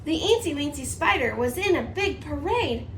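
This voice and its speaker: High-voiced woman reading to preschoolers, roomy sound. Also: normal speed. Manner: sing-song, expressive, rhythmic reading. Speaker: preschool teacher reading aloud. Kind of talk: storybook read-aloud for young children. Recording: distant mic, roomy echo, faint noise.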